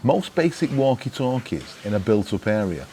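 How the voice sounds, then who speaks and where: A man's voice: lowish voice, sing-song, normal speed, warm tone. Chatty British man with background noise. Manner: colloquial, wry, rhetorical questions to the viewer. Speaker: vlogger presenting gadget advice. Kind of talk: chatty informal monologue to camera.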